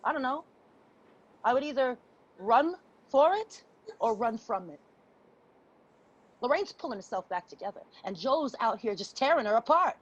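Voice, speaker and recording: An actress playing a tough, defensive homeless friend with an American accent, husky and blunt, in a stage play reading with slightly muffled sound. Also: female voice, on the high side, animated, moderate pace, long pauses. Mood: aggrieved. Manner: blunt, punchy street talk.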